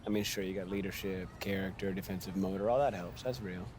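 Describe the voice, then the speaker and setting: A man's voice: low-pitched, steady tone, fast talker, background noise, natural voice. A man acting a blunt, streetwise American basketball mentor in a game cutscene, firing rhetorical questions with emphasis. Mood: blunt, challenging. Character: seasoned basketball mentor, blunt, preaching hard realism to youngster.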